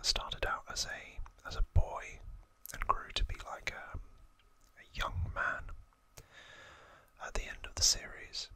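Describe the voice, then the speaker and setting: A host whispering softly and haltingly close to the microphone, explaining trivia informally in an ASMR style. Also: dry recording. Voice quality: soft unvoiced whisper.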